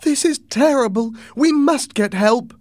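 A man's voice: fairly high pitch, sing-song, normal speed, a little mellow, husky, dry recording, studio quality, voice pushed up. A man voicing an anxious, earnest mother with an emphatic, worried delivery in a storybook reading. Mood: alarmed.

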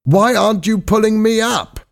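Guy doing a storybook scientist diver, way up high in pitch, exclaims everything, urgent. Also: sing-song, slow, a little mellow, full character voice. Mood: worried, impatient. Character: male scientist diver, eager then alarmed and impatient.

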